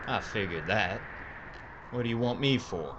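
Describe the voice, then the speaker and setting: An actor playing a wry Old West gunfighter in an audio drama, with a sardonic, deliberate manner. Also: male voice, lowish voice, expressive, lo-fi sound. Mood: dry, threatening. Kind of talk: Western audio drama acting. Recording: heavy background noise.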